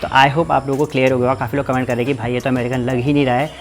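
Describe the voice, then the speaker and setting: A man's voice: mid-range voice, flat delivery. A young man from Uttarakhand, chatting informally as a vlogger in his village home. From Uttarakhand, India. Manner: quick, repetitive, informal, self-explaining. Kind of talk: casual family vlog chat.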